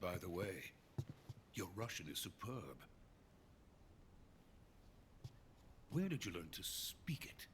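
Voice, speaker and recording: An actor playing an earnest, anxious Soviet scientist in a video game. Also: male voice, low-pitched, very animated, unhurried, slight background noise. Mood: subdued. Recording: dramatic music behind the voice. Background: loud cinematic game music.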